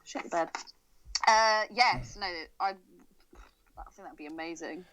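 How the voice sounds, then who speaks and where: A woman's voice: fairly low pitch, expressive, takes it slowly, a bit bright, rough recording. Jokey British woman, casual podcast chat. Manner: jokey, sweary banter. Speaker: podcast co-host. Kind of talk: casual chat about films.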